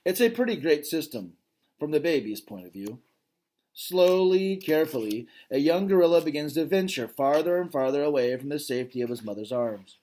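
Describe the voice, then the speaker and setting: A father reads a children's book aloud in a lively voice that swings up and down in pitch. He stops now and then to talk straight to his listeners about the story, then goes back to reading. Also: fairly quick. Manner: expressive reading, breaks off to comment directly to listeners. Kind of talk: reading a children's novel aloud with chatty asides.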